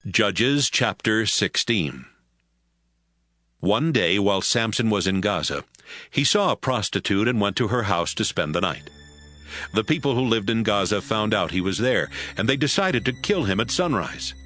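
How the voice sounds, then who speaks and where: A man narrating scripture in a steady, even storytelling manner. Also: on the low side, moderate pace.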